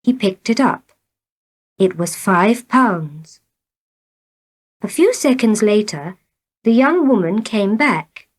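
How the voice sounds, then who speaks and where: A woman reading short tales as scripted narration, in a measured, deliberate manner. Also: mid-range voice, animated. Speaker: storybook reader.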